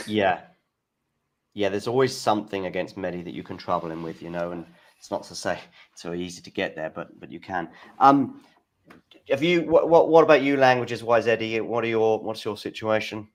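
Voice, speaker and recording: A man hosting a casual tennis watchalong chat, talking in halting starts and restarts and reading out scores. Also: on the low side. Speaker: host.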